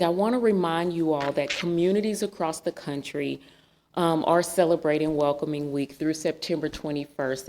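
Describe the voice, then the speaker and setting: A woman's voice: deep voice, a little mellow, a little hiss or hum. A woman giving an earnest, reflective sermon in a church, asking the congregation questions. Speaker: guest speaker. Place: church sanctuary.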